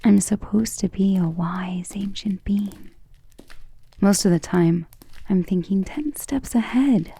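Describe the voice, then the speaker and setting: A woman voicing an ancient, tender and protective vampire lover in an intimate, breathy romantic ASMR roleplay, with genteel wording and a vulnerable air. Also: mid-range voice, slow, warm tone, full character voice. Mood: worried, regretful. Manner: intimate, breathy half-whispers with sighs.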